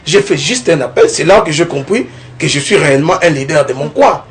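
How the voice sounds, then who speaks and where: A young man from the Kongo Central region of DR Congo, a political candidate giving a TV interview, talking in a lively way and often tagging his points with a rising question. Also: fairly high pitch, fairly quick, crowd noise. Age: young adult. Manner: animated, keeps adding "n'est-ce pas?", rising tone on points.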